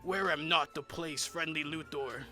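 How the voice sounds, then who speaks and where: Male actor as dim villain clone, irritable, forceful bright tone, backwards broken grammar, comedic radio play, music behind. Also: a little high, unhurried. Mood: puzzled.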